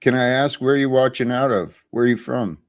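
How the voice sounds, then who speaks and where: A man hosting a livestream, talking slowly and thoughtfully about his life with many pauses. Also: medium pitch. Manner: slow, thoughtful, pausing. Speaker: livestream host. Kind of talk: reflective livestream talk.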